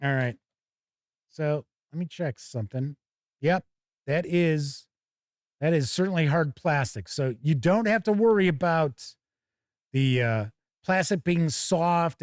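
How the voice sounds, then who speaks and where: A man's voice: a little high, dry recording, clean studio sound. A man hosting a tabletop gaming show, giving chatty, casual live commentary as he looks over products and talks with viewers.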